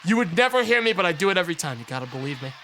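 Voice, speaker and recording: A young man streaming live, his voice hoarse and raspy. It sits a little high and has a bright, forward edge. He talks to chat in a loose, rambling way and lets sentences trail off. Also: big pitch swings, rapid-fire, a little hiss or hum. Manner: rambling, offhand, trails into mumbles. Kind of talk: casual live-stream chat, thanking subscribers.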